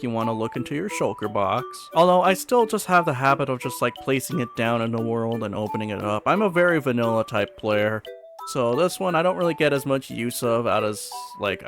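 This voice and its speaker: A man giving chatty, informal and opinionated commentary in the style of a casual gaming video host. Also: mid-range voice.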